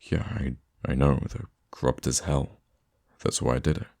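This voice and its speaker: A man playing a theatrical, easily flustered supervillain with a soft spot for his hero nemesis, in an ASMR roleplay monologue with a gravelly voice. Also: rumbling low, level tone, normal speed. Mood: concerned, pointed. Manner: dramatic, flustered, teasing, stammering when thrown off.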